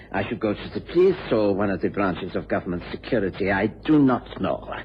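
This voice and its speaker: On a dull, faintly noisy vintage radio recording, a man acts a secretive gentleman scientist. He speaks in a medium-pitched voice, formal and hesitant, with an anxious politeness. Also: lightly acted. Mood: grave. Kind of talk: old-time radio drama acting.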